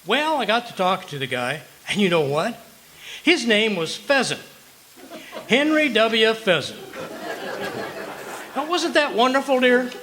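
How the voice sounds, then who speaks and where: A man's voice: extremely high pitch, sing-song, a little slow, pauses often, bright, forceful tone, a little hiss or hum, full character voice. A man with an American accent playing a blustery, wisecracking husband full of tall tales in an old-time radio comedy, with folksy delivery. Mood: boastful.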